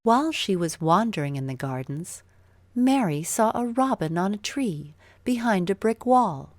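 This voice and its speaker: Casting: female children's storyteller, slow delivery, lowish pitch with very animated melody, dry studio-close sound. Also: dry recording. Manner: expressive, deliberate storytelling. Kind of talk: scripted children's story narration.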